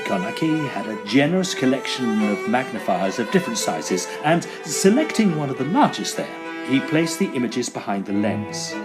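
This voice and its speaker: An actor playing an earnest, excitable Edwardian gentleman ghost hunter who tells his tale in formal, theatrical old-fashioned diction. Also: medium pitch, moderate pace, few pauses, natural voice. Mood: solemn narration. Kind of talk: dramatised first-person period storytelling. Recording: violin music behind the voice.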